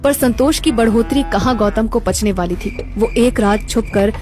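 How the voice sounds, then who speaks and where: A female storyteller reads a scripted cartoon narration. Her voice is slightly high. Music is clearly present underneath. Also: moderate pace. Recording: background music throughout.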